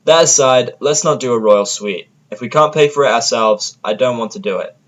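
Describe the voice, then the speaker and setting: A man's voice: mid-range voice, moderate pace, close to an everyday voice. A man plays a stubborn male outsider in an audio drama. He is grumpy and speaks in a blunt, irritable way, in a natural male voice. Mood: defensive.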